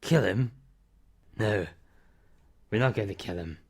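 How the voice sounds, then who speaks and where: A man playing an older reclusive character in an amateur audio drama, calm, firm and fatherly, speaking in terse, measured phrases. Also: lowish voice, creaky, breathy edge, exaggerated voice. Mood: firm, matter-of-fact.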